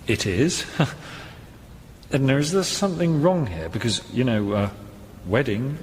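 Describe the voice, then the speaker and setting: A man's voice: medium pitch, expressive, slow pace, slight background noise. A man playing an impatient, scheming bridegroom who feigns innocence, incredulous and placating, in a comic radio drama. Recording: echoey hall with music behind.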